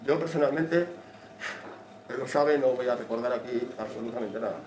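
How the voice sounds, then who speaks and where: A man's voice: medium pitch, moderate pace, darkish tone. A man in his thirties from Spain, the host of a channel, giving a defiant, emotional welcome speech into a handheld mic in a small room. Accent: Spanish from Spain. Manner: passionate, defiant. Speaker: channel host opening an event. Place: small indoor meeting room. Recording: echoey room, mic at a distance, faint noise.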